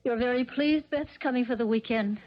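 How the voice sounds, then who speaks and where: A woman's voice: a little high, brisk, close to an everyday voice. An actress playing a patient, doubtful stepmother in a 1970s radio drama, polite and resigned. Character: patient, doubtful, mild-mannered stepmother and wife. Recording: muffled old radio recording.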